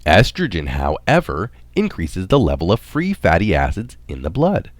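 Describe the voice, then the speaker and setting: A man's voice: low voice, wildly swooping pitch, normal speed, dry recording. A man reading a written article aloud in the manner of a narrator. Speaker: reader of recorded articles.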